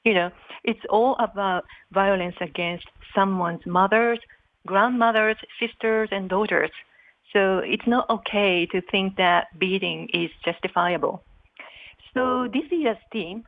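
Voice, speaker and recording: A woman's voice: mid-range voice, no room sound. A woman giving formal, prepared interview answers as an official guest over a phone call. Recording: dull, muffled phone-call line.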